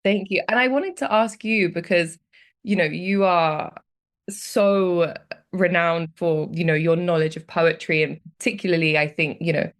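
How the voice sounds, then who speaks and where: Young British woman, podcast guest, slightly low voice, thoughtful storytelling with lively bursts. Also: unhurried. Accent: British English. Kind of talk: conversational podcast chat.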